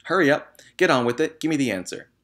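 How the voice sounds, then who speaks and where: A man voicing a bullying, tyrannical headmistress in a storybook, barking and shouting with scorn. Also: on the high side, expressive, fast talker, pitched above the performer's own voice. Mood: commanding. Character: bullying, thunderous headmistress, a huge tyrannical woman.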